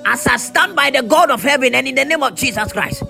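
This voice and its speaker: A hoarse preacher with a very high, bright, piercing voice, crackling with rough fry as he strains. He declares in fervent, repeated bursts, and soft music plays behind him. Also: a little fast. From Ghana. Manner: fervent, repetitive, shouted declarations. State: hoarse, losing his voice. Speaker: prophet preacher. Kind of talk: prophetic sermon with prayer.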